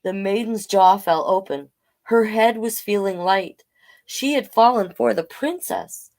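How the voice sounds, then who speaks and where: A woman hosting a storytime, reading a picture book aloud with chatty, gently encouraging asides to listeners. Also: mid-range voice, a little slow. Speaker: children's storytime reader and host.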